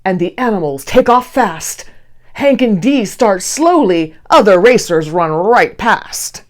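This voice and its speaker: A woman reading a children's picture book aloud expressively, with deliberate storyteller phrasing. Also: medium pitch, expressive. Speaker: read-aloud host.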